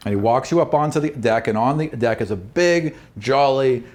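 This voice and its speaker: A man's voice: medium pitch, moderate pace, a little mellow. A man narrating a tabletop roleplaying game as dungeon master, in a casual, conversational style.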